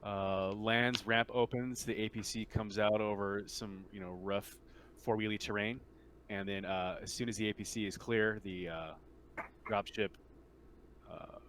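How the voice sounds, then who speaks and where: A man running a tabletop game as game master, narrating casually in halting, hesitant phrases over online play. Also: fairly low pitch, fairly even intonation, fairly bright tone, slight background noise.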